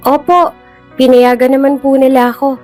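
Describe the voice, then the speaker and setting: A woman's voice: high-pitched, level tone, normal speed, darkish tone, voice pushed up, natural voice. A woman with a Filipino accent voicing an innocent, polite eight-year-old child in a storybook-style drama. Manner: meek, respectful. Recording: music bed behind the voice.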